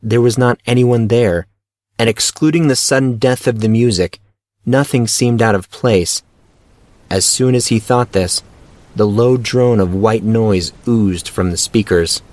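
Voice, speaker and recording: A man's voice: mid-range voice, measured pace, a little mellow, dry recording. A man reading scripted fiction as the narrator of an audio drama.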